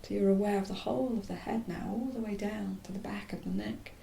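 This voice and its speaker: A woman teaching yoga nidra, leading a guided relaxation in a soft, soothing, unhurried voice that turns breathy at phrase ends and pauses mid-phrase. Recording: roomy echo, faint low rumbling noise.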